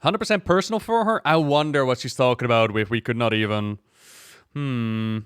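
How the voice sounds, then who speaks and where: A man with a clear, smooth voice of average pitch gives casual game reaction commentary. He thinks aloud like a streamer chatting to viewers, recorded dry and close. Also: moderate pace, no echo.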